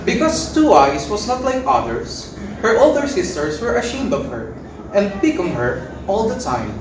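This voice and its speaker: A woman narrating a scripted fairy tale for readers theater in an animated way. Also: medium pitch, moderate pace. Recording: echoey room, distant mic, faint noise.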